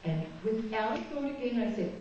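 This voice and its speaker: A woman from New Zealand gives a spiritual talk as a guest speaker in a chapel, telling candid stories with a self-mocking streak. Her voice is mellow. It is picked up from far off in a very echoey hall, with a dull, muffled sound. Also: a little high, lively intonation, normal speed, a little hiss or hum. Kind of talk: spiritual talk with personal anecdotes.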